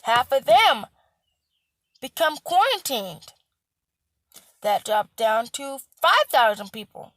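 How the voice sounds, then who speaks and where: A young woman who works in healthcare, hoarse with a cracking voice, lecturing bluntly, repeating herself and swearing for emphasis. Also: sits high, sing-song, takes it slowly, pauses often, fairly bright tone, dry recording. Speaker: healthcare worker. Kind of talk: casual vlog rant.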